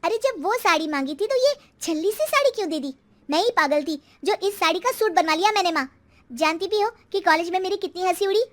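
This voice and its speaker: Woman playing very high voice, spoiled young daughter, whiny, demanding, storybook character. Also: lively intonation, fairly quick. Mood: petulant, mocking. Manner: whiny, insistent. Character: demanding, petulant college-age daughter.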